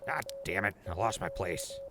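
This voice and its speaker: A man's voice: deep voice, lively intonation, normal speed, noisy background. An actor playing a grumpy, foul-mouthed wasteland radio man in a post-apocalyptic audio drama, muttering and grumbling. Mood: irritated.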